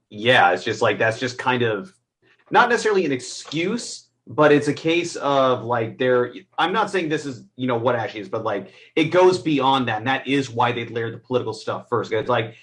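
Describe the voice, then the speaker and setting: A man with an average-pitched voice co-hosts a film review. He speaks in a casual, conversational style as he shares his take. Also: fairly bright tone.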